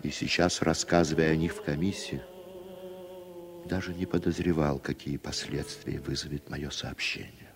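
An actor playing a worldly, pragmatic journalist in his late thirties, husky and persuasive, in Soviet radio drama style. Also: a bass voice, fairly even intonation, normal speed. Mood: reflective narrating. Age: 30s.

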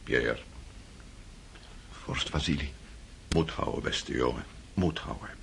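A smooth, scheming older prince in an old radio play. His voice is low and gravelly, moving widely in pitch as he speaks with measured formality. The recording is noisy. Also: full character voice. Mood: grave consoling. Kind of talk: Dutch radio drama acting.

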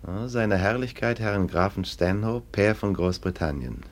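Very deep, scornful police lieutenant played by a man. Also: moderate pace, close to an everyday voice. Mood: smug, dismissive. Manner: sardonic, dismissive. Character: scornful, domineering male police lieutenant, sceptical and sly. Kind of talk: German radio play acting.

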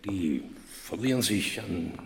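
Radio play: irritable, pompous lecturer, slow and emphatic with big melodic swings, declaiming to make his point. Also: low-pitched, animated, gravelly, exaggerated voice. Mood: grandly declamatory. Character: pompous, irritable philosophy professor pronouncing on the state. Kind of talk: German radio play acting. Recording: echoey hall reverb.

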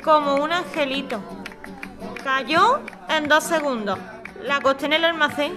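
A woman plays a reassuring, protective mother of a small child in an audio drama. Her voice is high, with guitar music and a busy crowd behind. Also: unhurried. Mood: tender.

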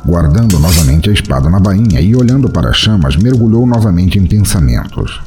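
A man giving a theatrical, scripted narration of a dark fantasy tale as a storyteller. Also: low voice, very animated, a little fast, slight background noise. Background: music.

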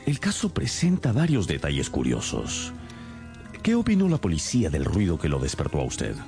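Casting: wry, methodical detective for radio drama, low voice, hugely varied intonation, faint music behind. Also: male voice, huge pitch swings, moderate pace, heavily acted. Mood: probing. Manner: probing, wry, theatrical. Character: shrewd, composed male detective, wry and methodical. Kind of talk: Spanish-language radio drama acting.

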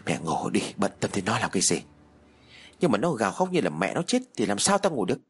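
A man voicing a surly, callous young uncle who is gruff and threatening. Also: lowish voice, wildly swooping pitch, a little fast, heavily acted. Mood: irritated.

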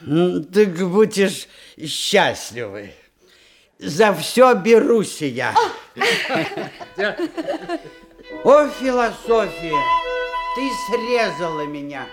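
An actor playing a blustering older uncle in a radio stage comedy, flustered and stammering, with groaning interjections. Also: male voice, sits high, sing-song, slow pace, over loud music, exaggerated voice. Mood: exasperated.

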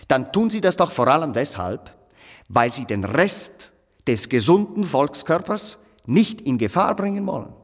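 An actor in a German radio play playing a pompous, coldly moralizing executioner who lectures in a stilted, pedantic way. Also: male voice, a little high, long reverb tail, heavily acted.

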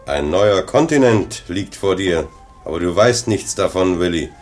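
An actor playing a grandiose, tempting adventurer who appears as if in a dream, declaiming theatrically in a 1950s German radio drama. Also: male voice, low-pitched, lively intonation, measured pace, darkish tone, faint music behind. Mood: grand, luring.

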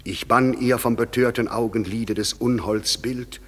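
A man's voice: mid-range voice, fairly even intonation, unhurried, close to an everyday voice. An actor playing a solemn, commanding fairy king who declaims verse in a stately way in a radio play. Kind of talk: classic German radio play acting. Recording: long reverb with music underneath.

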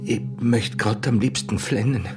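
A brooding border guard, played by a man in a radio play, awkward and smitten, with an Austrian accent. He mutters haltingly in rural dialect, often sinking into a breathy murmur, over faint music. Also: fairly low pitch, fairly even intonation, close to an everyday voice. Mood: lost, despairing. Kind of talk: intimate radio drama dialogue in dialect.

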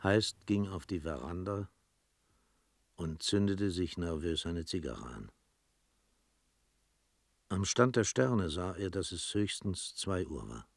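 A man narrating a literary radio drama in a measured, formal manner. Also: sits low, animated, gravelly, no echo.

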